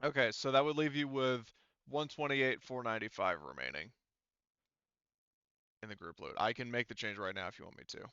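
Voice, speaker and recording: Bright, clear-voiced man running a tabletop game. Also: mid-range voice, dry recording, muffled recording. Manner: halting, thinking aloud. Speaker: game master. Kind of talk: casual tabletop game narration and rulings.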